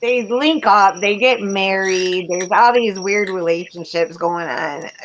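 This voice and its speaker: A woman's voice: medium pitch, takes it slowly, fairly bright tone, slight background noise, poor audio. A woman chatting candidly and casually, telling stories in blunt, profane slang. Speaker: talk show panelist recounting prison life.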